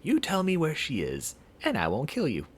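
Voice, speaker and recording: A man's voice: fairly high pitch, huge pitch swings, normal speed, slightly rough, voice pushed up, full character voice. A man voicing a brash, short-tempered young fighter in a visual novel, threatening and taunting. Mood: cocky, threatening.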